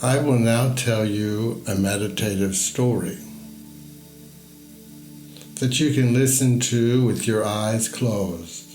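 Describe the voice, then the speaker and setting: A man narrates a guided meditation as a story, in a measured, deliberate manner with pauses between phrases. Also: fairly low pitch, a little mellow. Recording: echoey voice over music. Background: music.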